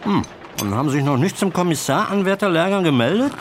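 A man's voice: fairly high pitch, animated, fast, slight background noise, lightly acted. A man playing a genial but probing detective chief in a radio drama, speaking with clipped authority. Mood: probing, authoritative. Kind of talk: German radio drama acting.

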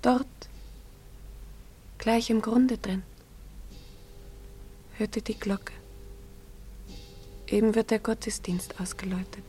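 A woman's voice: mid-range voice, fairly even intonation, takes it slowly, long pauses, dark tone, slight background noise, close to an everyday voice. An actress playing a very young peasant girl in a German radio play, anxious and faithful, speaking earnestly and shyly. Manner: earnest, shy, wistful.

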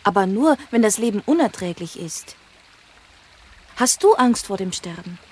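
An actress playing an affectionate young daughter in a radio drama, speaking with a soft, breathy voice. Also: female voice, medium pitch, animated, normal speed. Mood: gentle, anxious. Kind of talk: scripted German radio drama acting and narration.

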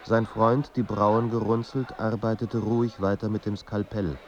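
A man performing as a grave, brooding narrator of literary radio drama, speaking in a measured way with dramatic pauses over a slightly muffled, noisy recording. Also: on the low side, level tone, close to an everyday voice. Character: literary narrator of a troubled young writer's life, grave and brooding.

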